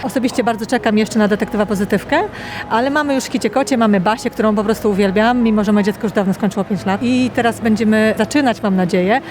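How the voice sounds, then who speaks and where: Woman manager being interviewed, talks fast n keen, noisy behind her. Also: medium pitch. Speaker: cinema manager. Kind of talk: interview answer. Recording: clear urban ambient noise.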